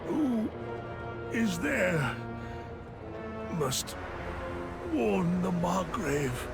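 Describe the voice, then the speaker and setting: An actor playing a weary older undead warrior baron in a video game, speaking gravely, formally and haltingly. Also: male voice, high voice, very animated, over loud music, heavily acted. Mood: dazed, weakened. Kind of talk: video game character dialogue.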